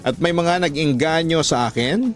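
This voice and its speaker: Filipino guy reading a dramatic story radio-style, slow emotional delivery, music underneath. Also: on the high side. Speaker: radio drama host and narrator. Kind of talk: scripted dramatic narration of letter-sender stories. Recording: voice over background music.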